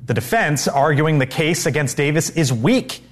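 Brisk male news anchor. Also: on the high side, lively intonation. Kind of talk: news reading.

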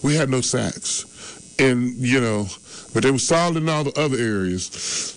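A man's voice: mid-range voice, animated, a little slow, poor audio. A man in his early sixties grading his team's game in casual sports talk, rambling and repeating himself. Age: 60s. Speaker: sports show regular.

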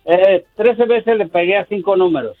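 An older man calling in to a radio show, talking in a hesitant, halting way with many fillers. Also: high-pitched, loud music behind the voice. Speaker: caller. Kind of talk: phone-in radio call. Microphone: telephone. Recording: tinny dry phone line.

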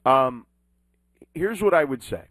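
A man's voice: medium pitch, slow, no room sound. Emphatic sports radio man. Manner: animated, emphatic, salesy in the ad. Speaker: sports talk radio host. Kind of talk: sports talk and live read ad.

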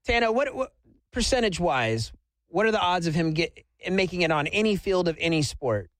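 A man's voice: high-pitched, big pitch swings, brisk, a bit bright, dry recording. A man co-hosting morning radio as the DJ, teasing the host and laughing often.

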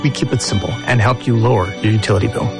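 A man's voice: lowish voice, steady tone, quick pace. A man giving an earnest, reassuring scripted commercial read. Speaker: ad voiceover. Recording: voice over music bed.

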